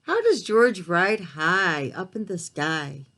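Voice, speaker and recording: Slow, animated woman reading to preschoolers. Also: fairly low pitch. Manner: simple child-directed asides and questions; expressive storytelling delivery. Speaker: preschool read-aloud storyteller. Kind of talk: picture-book read-aloud for young children.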